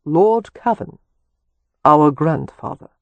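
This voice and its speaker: A reader voicing a wry, guarded young man who talks in a calm and dryly ironic way. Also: medium pitch, takes it slowly, dry recording, highs cut off. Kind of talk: audiobook character reading.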